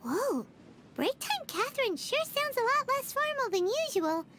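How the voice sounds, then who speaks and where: A woman voicing a tiny, chatty, excitable female companion who talks about herself in the third person, in a game cutscene. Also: very high voice, moderate pace, noisy background. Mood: chatty, curious. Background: game music.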